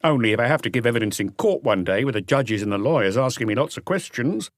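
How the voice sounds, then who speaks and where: A man's voice: mid-range voice, animated, fast talker, no echo, clean studio sound, lightly acted. A man voicing a cautious, obliging male café waiter in an audiobook mystery. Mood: careful, insistent.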